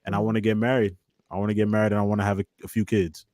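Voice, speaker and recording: A man's voice: on the low side, little pitch movement, rapid-fire, a little mellow, dry recording. Young man in casual chat, dry sound. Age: 20s. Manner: informal, slangy speech. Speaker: guest on a relationship panel.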